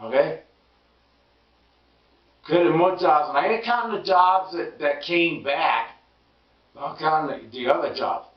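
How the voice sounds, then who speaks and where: Hoarse stammering man, slow and roomy. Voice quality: stammers and repeats words. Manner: emphatic, rising pitch when indignant. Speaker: political commentary vlogger. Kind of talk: casual political monologue. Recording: roomy distant lo-fi sound.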